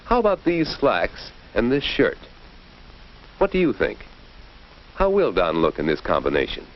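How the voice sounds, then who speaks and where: A man's voice: lowish voice, wildly swooping pitch, unhurried. A man giving scripted, instructive narration in a 1940s educational film style, with a questioning, presentational manner. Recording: dull, noisy 1940s film soundtrack.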